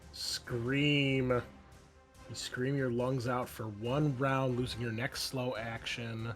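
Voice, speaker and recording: A man running a tabletop roleplaying game as game master, narrating the action in a conversational, halting way. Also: medium pitch, level tone. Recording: faint music behind the voice. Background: faint music.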